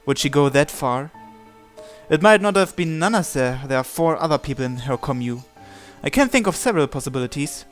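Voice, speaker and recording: A man with a somewhat high-pitched voice reads a visual novel aloud for a let's-play. He voices both the narration and every character's lines in his own plain voice. Soft game music plays quietly underneath. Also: animated, brisk.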